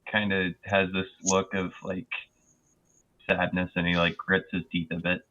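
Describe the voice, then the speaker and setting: A man's voice: low voice, monotone, unhurried, sharp tone, muffled recording. A man narrating his character in a tabletop game, casual and tentative.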